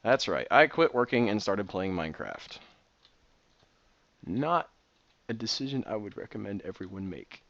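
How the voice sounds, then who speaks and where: A man in his thirties, excitable and rambling, chatting casually while playing a building game. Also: on the low side, moderate pace, gravelly, pauses often, highs cut off. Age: 30s. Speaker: Minecraft builder and streamer. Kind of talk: casual commentary while gaming.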